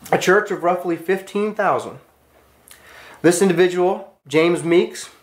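An American man teaching a class, giving a storytelling lecture in an earnest, emphatic manner with short declarative sentences. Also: a little high.

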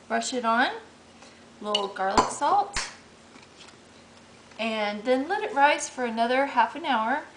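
A middle-aged woman chats her way through a home recipe in a roomy kitchen, her voice bouncing off hard surfaces. She sounds easygoing and homey and fills pauses with "um" as she works. A steady hiss of background noise runs under the recording. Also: a little high. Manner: chatty and homey, thinking aloud with fillers while working dough. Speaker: home cooking host. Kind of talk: casual cooking demonstration. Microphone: camera mic, a few steps away.

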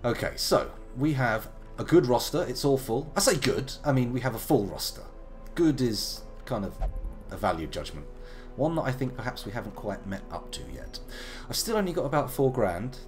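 A man in his thirties, gravelly from a slight cold, chatting playfully through a live game stream. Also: medium pitch, very animated, normal speed, few pauses, soft background music. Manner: chatty, playful, wandering asides. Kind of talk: casual live game commentary.

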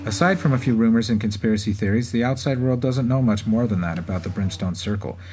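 A man's voice: lowish voice, fast talker, darkish tone, faint music behind, lightly acted. A man co-hosting a podcast with scripted banter and historical storytelling. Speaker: podcast co-host. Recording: faint background noise and ambience.

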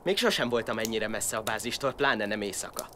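A man playing an animated, earnest young outcast. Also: on the low side, background noise, close to an everyday voice. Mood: uneasy. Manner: earnest, easily flustered. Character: earnest young outcast lad, defiant, eager for revenge. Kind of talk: audio drama acting. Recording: music behind the voice.

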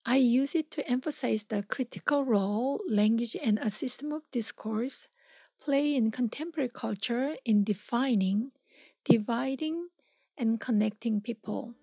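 A woman with a Korean accent reading a prepared statement about her artwork in a careful, measured way. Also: fairly high pitch, dark tone, breathy edge, no echo. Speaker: exhibiting artist.

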